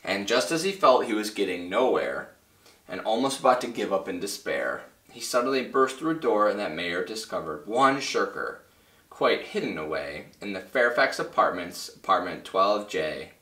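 A man in his twenties reading a children's story aloud as a teacher, expressive and clearly phrased for young children. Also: lowish voice, normal speed. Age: 20s. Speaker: first grade teacher. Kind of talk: children's read-aloud narration. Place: small indoor room with bare wall and whiteboard. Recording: roomy, slightly distant mic.

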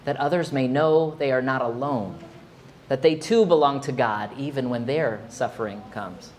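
A man in his forties, a pastor, preaching a sermon in a small church, expressive and at times emphatic. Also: moderate pace. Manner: expressive, rising to emphatic high exclamations. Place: small church sanctuary, indoors.

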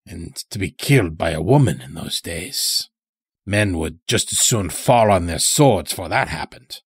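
A man's voice: low voice, lively intonation, measured pace, husky, no room sound, studio quality, heavily acted. A man voicing a folksy, taunting old elder in an audio story, chatty and needling with rustic phrasing. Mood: musing, needling. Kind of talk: dramatic audio story character.

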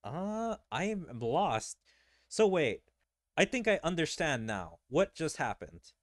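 A man's voice: fairly high pitch, sing-song, slow, dry recording, clean studio sound. A man gaming streamer giving casual, rambling playthrough commentary, thinking aloud in a puzzled way.

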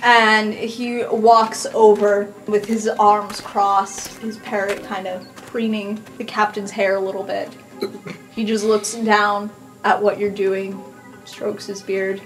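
A woman's voice: medium pitch, flat delivery, slow pace, rough recording. A woman narrating an improvised tabletop game casually as game master. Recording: music behind the voice.

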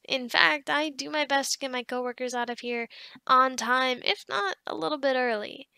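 A woman acting a kind, encouraging office boss in an ASMR roleplay, speaking softly and conversationally with hesitant pauses and sighs. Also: high voice, gravelly, clear, resonant tone, dry recording, studio quality, exaggerated voice. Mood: reassuring, gentle.

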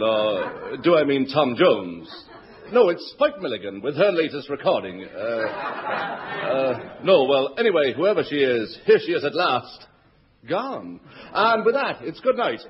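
Man as a lively, muddled rambling compere, audience laughing, dull old sound. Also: medium pitch, noisy background, lightly acted. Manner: flustered, rambling introductions. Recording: dull 1960s radio recording. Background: studio audience laughter.

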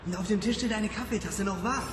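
A man's voice: sits high, brisk, noisy background, natural voice. An actor playing a bold, curious young man turned amateur investigator, speaking colloquially and urgently in a radio drama. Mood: urgent, excited. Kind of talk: German radio drama acting.

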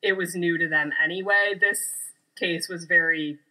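A woman's voice: lowish voice, steady tone, measured pace, bright, forceful tone, low-quality recording. A woman co-hosting a podcast in a casual, conversational style. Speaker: podcast co-host. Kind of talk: casual chat.

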